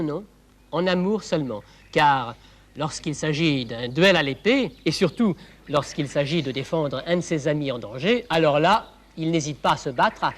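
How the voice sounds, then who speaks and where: A chatty storyteller for children, with a fairly high voice. The delivery is playful and confiding, leaning on questions and repeated words. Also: male voice. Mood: animated. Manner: playful, rhetorical questions and repetitions. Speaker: narrator.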